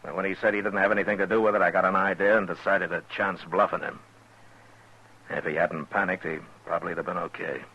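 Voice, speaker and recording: A man's voice: low-pitched, fairly even intonation, quick pace, slight background noise. An American actor playing a shrewd, authoritative frontier lawman in a 1950s radio western, terse and commanding. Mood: calm, assured. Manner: terse, commanding, plain-spoken. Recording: muffled 1950s radio recording.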